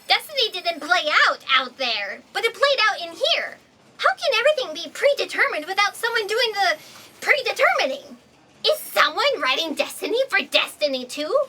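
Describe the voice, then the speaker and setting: A woman's voice: extremely high pitch, bright, forceful tone, slight background noise, heavily acted. A woman playing an anxious, eager, chattering alien office fact checker in a comedic sci-fi audio drama. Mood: panicked, existential. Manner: chattering, breathless, overwrought questioning.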